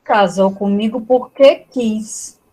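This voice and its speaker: Woman as slow, scornful queen goddess. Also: medium pitch, a little mellow, poor audio. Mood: furious. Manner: drawn-out, disdainful. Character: scornful, imperious queen goddess, bitter wife and mother. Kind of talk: mythological comedy audio drama.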